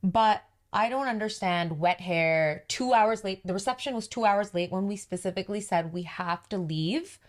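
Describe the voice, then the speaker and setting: A woman with a deep voice, co-hosting a podcast and telling a story in casual chat while acting out the quoted dialogue. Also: moderate pace, hardly any pauses. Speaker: podcast co-host, business owner.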